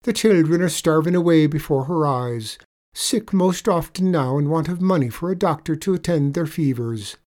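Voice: mid-range voice, lively intonation, moderate pace, darkish tone, voice pushed up, close to an everyday voice. A performer voicing a wily little Irish leprechaun man in a storybook tale, coaxing with a mournful lilt. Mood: sly, sorrowful. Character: wily little leprechaun man spinning pitiful sob stories.

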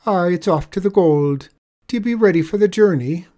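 A man's voice: normal speed, warm tone, lightly acted. A man voicing a sly, tricky little male leprechaun cobbler in a lilting Irish brogue. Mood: resigned. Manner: high wheedling Irish brogue.

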